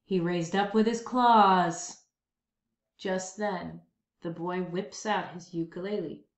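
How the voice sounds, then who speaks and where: A woman reading a children's story aloud with expressive, dramatic, emphatic phrasing. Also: on the low side, slow pace. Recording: dull lo-fi, roomy echo.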